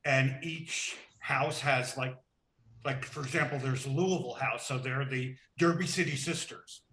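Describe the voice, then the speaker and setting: A man's voice: medium pitch, measured pace, a bit bright, echoey room, poor audio. A man who organizes a convention, describing his outreach plans earnestly and in detail. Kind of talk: casual video call chat.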